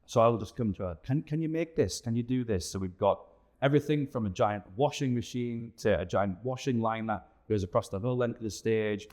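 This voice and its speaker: A man's voice: mid-range voice, a little fast, darkish tone. A man chatting informally and enthusiastically in an interview as a theatre director. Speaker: pantomime director and producer being interviewed. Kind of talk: casual interview chat.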